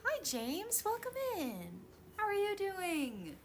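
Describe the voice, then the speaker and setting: A woman's voice: extremely high pitch, wildly swooping pitch, slow, a little hiss or hum. A woman chatting casually on a craft livestream, bubbly and greeting viewers by name. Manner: bubbly, greets chat warmly. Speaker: streamer. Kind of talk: casual chat on a craft stream.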